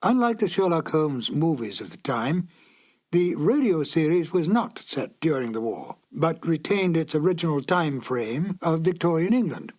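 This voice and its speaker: A man's voice: on the high side, big pitch swings, moderate pace, darkish tone. A man giving a scripted narration as the presenter of a classic radio drama.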